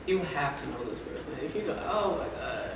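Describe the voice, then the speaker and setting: A man's voice: on the high side, sing-song, a little fast. A man hosting an informal interview, chatting with playful teasing and slang. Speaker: interviewer, host. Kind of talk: casual chat, quiz-style interview. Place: reverberant indoor room. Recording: echoey room, distant mic, clearly noisy.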